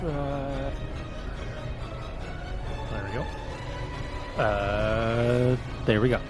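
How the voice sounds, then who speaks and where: Slow-paced man playing a tabletop game. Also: mid-range voice. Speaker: player in a tabletop roleplaying game. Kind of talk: casual game-table talk. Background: game background music.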